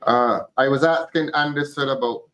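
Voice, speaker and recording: An older man, hosting a conversational panel interview in a measured way with short probing questions. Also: medium pitch, fairly even intonation, fairly bright tone. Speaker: host leading a panel discussion. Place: studio panel table.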